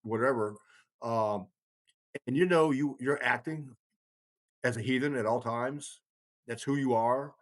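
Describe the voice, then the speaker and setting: A man's voice: medium pitch, measured pace, long pauses. An older man in casual podcast conversation, rambling but emphatic, with blunt, salty language. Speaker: kindred chieftain.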